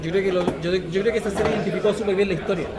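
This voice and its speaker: A man in his thirties talks fast in a high voice, blunt and full of slang as he co-hosts a podcast. A loud crowd murmurs behind him in a large room. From Chile. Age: 30s. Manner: animated, slangy, swears freely. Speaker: podcast co-host. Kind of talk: casual chat.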